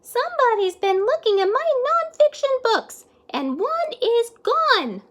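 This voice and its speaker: A woman's voice: very high voice, very animated, a little slow, fairly bright tone, pitched above the performer's own voice, exaggerated voice. A woman voicing an upset little baby bear in a children's storybook. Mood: upset, plaintive. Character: squeaky little baby bear, upset about missing books.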